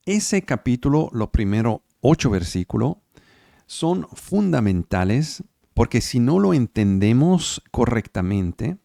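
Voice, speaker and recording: A man preaches in Spanish, which is not his first language, leading a weekly Bible study over a video call. His voice has a gravelly edge and rises and falls in lively sweeps. He stresses points by repeating words and keeps checking that his listeners follow, all in a dry, close sound. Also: medium pitch, no echo. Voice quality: speaks Spanish as a second language. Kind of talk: online sermon and Bible study. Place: home, online service.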